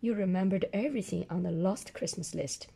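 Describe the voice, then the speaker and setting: A woman voicing a kindly, flustered old Santa from a children's storybook, with a hoarse voice. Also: on the low side, a little fast, warm tone. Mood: grateful.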